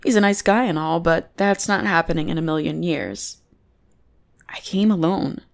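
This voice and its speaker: A woman's voice: on the low side, moderate pace, creaky. A woman playing a bossy, teasing goth bully who is secretly smitten, in an intimate ASMR roleplay. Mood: grudgingly affectionate. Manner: mocking, drawling, intimate teasing. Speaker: voice actor in audio roleplay.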